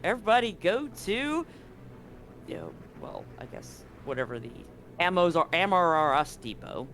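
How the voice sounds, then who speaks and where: A man's voice: a little high, sing-song, normal speed, vocal fry, bright, forceful tone, slight background noise. A man narrating as game master in a tabletop game, loose and casual with many fillers and restarts.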